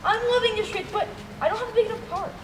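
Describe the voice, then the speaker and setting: A woman's voice: extremely high pitch, quick pace. A young woman playing a cocky, goofy amateur actor who is exuberant and self-important. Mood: cocky, excited. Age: teenager. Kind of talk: amateur stage comedy acting. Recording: echoey, distant, noisy stage.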